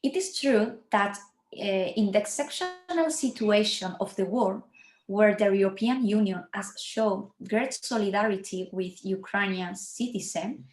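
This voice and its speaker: A woman's voice: mid-range voice, lively intonation, a little slow, echoey room. A Spanish woman with a Spanish accent, speaking English as a second language in an online academic presentation, careful and apologetic. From Spain. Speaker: university researcher presenting.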